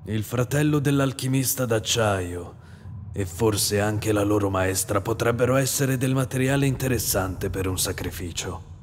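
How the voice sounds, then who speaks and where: A disciplined homunculus commander, voiced by a man in an anime fan dub. He speaks tersely in a lowish voice, with a long echo and faint noise behind. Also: moderate pace, lightly acted. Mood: measured. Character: stern male homunculus leader, disciplined. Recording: roomy reverb with faint noise.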